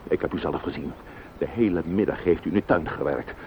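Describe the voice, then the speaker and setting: An actor playing a grieving, indignant widowed neighbour in a radio play, formal and cutting, with a Netherlands Dutch accent. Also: male voice, deep voice, brisk, creaky. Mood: accusing. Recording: dull, noisy old radio play recording.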